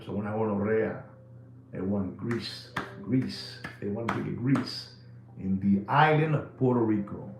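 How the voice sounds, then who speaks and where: A man's voice: medium pitch, gravelly, echoey room. A man, hosting a live watch podcast in a rambling, jokey way full of slang. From New York City. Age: 60s. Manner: animated, jokey storytelling with slang.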